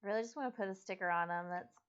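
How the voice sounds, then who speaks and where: A woman's voice: mid-range voice, rapid-fire, clear, resonant tone, highs cut off. A woman co-hosting a live stream in casual, conversational chat. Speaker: co-host.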